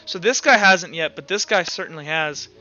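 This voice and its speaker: Bright, high-pitched man running a tabletop game. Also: moderate pace. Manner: informal, talks through rulings aloud, acts out sound effects. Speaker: game master. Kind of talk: casual online tabletop roleplay game mastering. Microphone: online voice chat microphone. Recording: dull, lo-fi voice chat with music behind.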